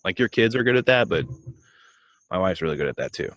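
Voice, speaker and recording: Low-voiced man, casual rambling banter. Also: sing-song, quick pace, a bit bright, dry recording. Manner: rambling, joking, lots of fillers. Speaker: podcast co-host. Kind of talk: casual chat.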